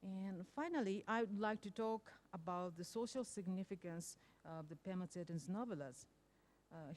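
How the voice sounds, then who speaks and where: A woman professor reads out her conference paper in a mellow, dark-toned voice. She pauses often, restarting words as she searches for phrasing, and her delivery stays measured and careful. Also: medium pitch. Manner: careful, hesitant, many fillers and restarts. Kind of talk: conference presentation.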